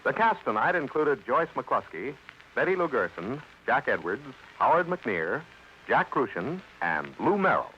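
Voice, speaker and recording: A man's voice: medium pitch, big pitch swings, unhurried. A man working as a radio announcer, reading programme credits in a formal 1950s broadcast style. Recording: muffled old radio, faint noise.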